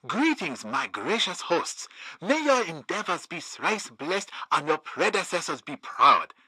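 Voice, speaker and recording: An actor playing a formal, declamatory political envoy in a comedic video game. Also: male voice, fairly high pitch, sing-song, measured pace, pushed and bright, breathy edge, dry recording, heavily acted, poor audio. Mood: ceremonious. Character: grandiloquent humble envoys and stern communist comrade.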